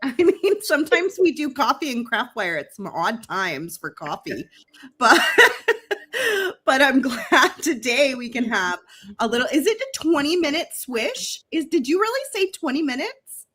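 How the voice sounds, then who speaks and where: A woman with a fairly high voice co-hosts a craft livestream from her home. She teases her partner with disbelieving questions, then runs through a shop deal in a brisk sales manner. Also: very animated, fairly bright tone, slightly rough. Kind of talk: casual chat and shop promotion.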